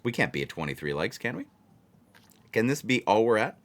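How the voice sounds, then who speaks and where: A man's voice: lowish voice, big pitch swings, brisk, fairly bright tone. A man hosts a casual livestream, chatting to viewers in a rambling way and repeating phrases for emphasis. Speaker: livestream host of a blackjack channel.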